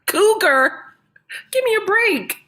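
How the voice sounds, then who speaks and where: A woman reading a jaded, blunt female TV star in a comedy script, with a deadpan, sarcastic delivery. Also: very high voice, slow pace, slight background noise, exaggerated voice, poor audio. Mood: scornful. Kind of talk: comedy script table read.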